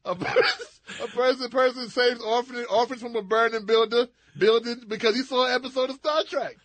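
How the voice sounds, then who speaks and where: A man's voice: extremely high pitch, fairly even intonation, normal speed, fairly bright tone, no echo. A man bantering casually as a radio sidekick, exclamatory and incredulous, repeating himself for emphasis.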